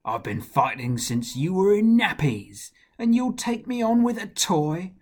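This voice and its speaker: A man's voice: sits high, very animated, a little slow, darkish tone, voice pushed up, full character voice. Goliath, a man playing a boastful mocking giant. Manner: taunting, boastful. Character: boastful giant male warrior, mocking and proud.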